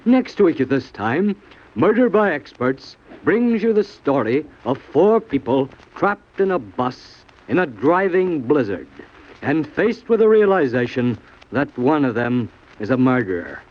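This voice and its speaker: A man's voice: medium pitch, sing-song, unhurried. A man hosting a mystery anthology in a formal, theatrical 1940s radio manner. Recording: muffled old radio broadcast recording.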